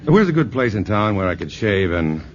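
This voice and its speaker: An actor playing a shy, self-deprecating scientist in a 1950s radio drama. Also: male voice, on the low side, very animated, moderate pace. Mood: modest, easygoing. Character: modest, bashful male physicist. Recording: muffled vintage radio broadcast.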